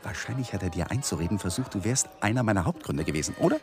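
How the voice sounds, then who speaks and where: An actor playing a defensive young lawyer under suspicion in a German radio drama, brittle and sarcastic. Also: male voice, on the low side, lively intonation, fast, natural voice.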